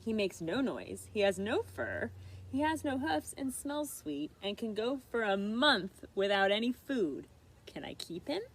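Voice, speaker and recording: A woman's voice: on the high side, animated, unhurried. A woman reading a picture book as a young boy, earnest and pleading in a sing-song way. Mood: proud, hopeful. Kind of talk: picture book read-aloud.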